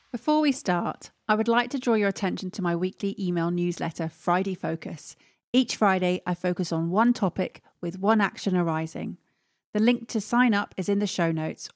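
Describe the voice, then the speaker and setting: A woman hosts a podcast interview in an upbeat, encouraging way, quick to praise her guest. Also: mid-range voice. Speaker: podcast host.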